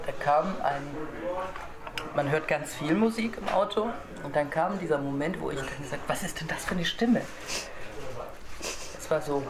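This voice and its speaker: A man's voice: a little high, moderate pace, pauses often. A man in his thirties interviewing a guest, stumbling and restarting his sentences as he talks. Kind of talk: casual interview chat. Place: cafe table with coffee cups. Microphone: far camera, no mic seen. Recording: cafe with crowd chatter around. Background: chatter of a cafe crowd.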